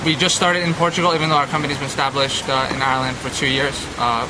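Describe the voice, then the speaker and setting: A man who runs a marketing company, introducing himself in a friendly, relaxed way with drawn-out fillers. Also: medium pitch. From Canada. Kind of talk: casual on-the-street interview. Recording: loud street and traffic noise.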